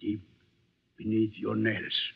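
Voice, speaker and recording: A man's voice: on the low side, monotone, slow pace, creaky, breathy edge, exaggerated voice. A man playing a stern older village chief and father in an old-time radio drama, grave and commanding. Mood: grave, accusing. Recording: thin, phone-like old radio broadcast.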